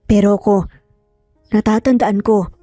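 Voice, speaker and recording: A woman's voice: medium pitch, fairly even intonation, unhurried, dark tone, dry recording, soft background music, close to an everyday voice. A woman voicing a serious, heavy-hearted father in a dramatic audio story. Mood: grave confession.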